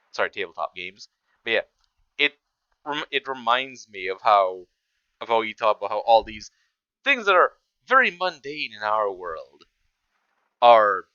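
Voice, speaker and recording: A man's voice: lowish voice, bright, forceful tone, smooth, no room sound, highs cut off. A man giving casual off-the-cuff reaction commentary, rambling and stammering as he restarts his sentences. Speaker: reaction video host.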